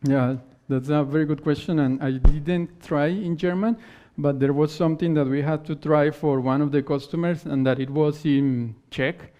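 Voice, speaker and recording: A man whose first language is not English, giving a technical conference talk and answering questions in halting phrases that he often restarts. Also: on the high side, fairly even intonation, mellow. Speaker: conference speaker. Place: conference hall stage. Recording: dull lo-fi conference recording.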